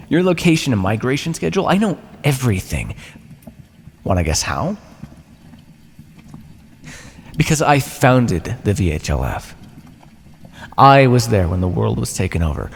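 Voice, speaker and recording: Guy doing an old smug vampire captor for asmr roleplay, gravelly fry, taunting, big reverb and some noise behind. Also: mid-range voice, big pitch swings. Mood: proud, intense. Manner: taunting, patronising, theatrical, with deliberate pauses. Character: ancient condescending male vampire captor, smug and menacing. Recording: long reverb, noisy background.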